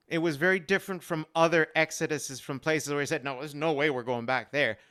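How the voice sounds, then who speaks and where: A man with a resonant, clean voice that sits a little high. He speaks as a guest on an online panel. His storytelling is earnest and personal, leaning on repeated phrases for emphasis. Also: steady tone, brisk, pushed and bright, clear, resonant tone. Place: remote live-stream video call.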